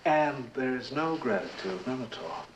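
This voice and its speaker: A man's voice: medium pitch, lively intonation, normal speed, close to an everyday voice. An actor playing a reclusive, paranoid, imperious rich man in a vintage television drama, with a grandiose, volatile manner. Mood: tormented, self-pitying. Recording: noisy old television soundtrack.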